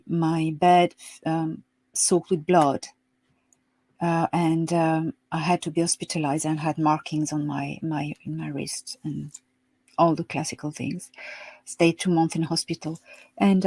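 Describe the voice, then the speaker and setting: French lady on a video call, lowish voice, dry sound, lots of ums. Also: no room sound. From France. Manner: soft-spoken, hesitant with many ums, laughs easily. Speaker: interview guest. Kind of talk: remote interview, storytelling.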